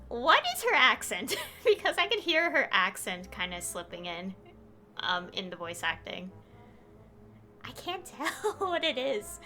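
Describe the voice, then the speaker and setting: A woman's voice: medium pitch, sharp tone. A woman streaming a game with casual, rambling, informal live commentary.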